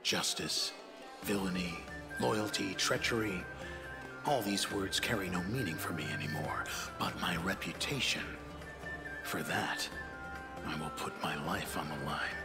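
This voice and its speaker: An actor playing a wry, roguish dwarf mercenary in a fantasy video game, speaking in a deliberate, dryly amused way. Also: male voice, low-pitched, over loud music. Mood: wry, measured.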